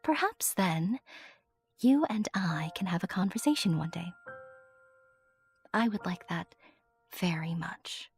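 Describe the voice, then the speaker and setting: A woman's voice: lowish voice, expressive, slow pace, long pauses, faint music behind. A woman narrating a fantasy audio drama as a small, fond and earnest companion telling the tale first-hand. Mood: earnest, tender.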